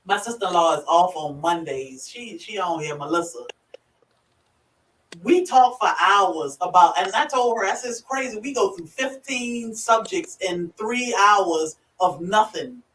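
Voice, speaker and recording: A woman with a rural Virginia accent hosting a casual livestream, chatty and quick to laugh as she greets viewers by name. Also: lowish voice, lively intonation, fairly bright tone, a little hiss or hum. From Virginia, USA. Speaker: livestream host. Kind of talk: casual live chat. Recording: echoey room, far from the mic.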